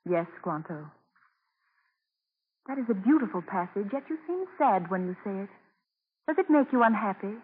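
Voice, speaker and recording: An actress playing a gentle, devout mistress who offers tender, measured reassurance in a 1940s radio drama. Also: female voice, medium pitch, big pitch swings, slight background noise, close to an everyday voice. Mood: gentle concern. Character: gentle, devout English mistress, consoling and sure. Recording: muffled, narrow-band 1940s radio broadcast.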